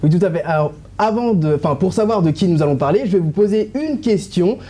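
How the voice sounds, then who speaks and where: A man's voice: high-pitched, expressive, quick pace, dark tone, husky. A young man in a studio presenting a celebrity news column, playful and often laughing, sometimes losing his place in his notes. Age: young adult. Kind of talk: playful scripted TV column with banter. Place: TV studio panel set.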